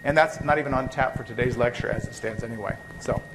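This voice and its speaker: A man's voice: mid-range voice, fast talker, low-quality recording. A male biochemistry professor giving a university lecture while catching a cold, speaking loosely with many fillers and restarts. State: catching a cold, occasional coughs. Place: lecture hall.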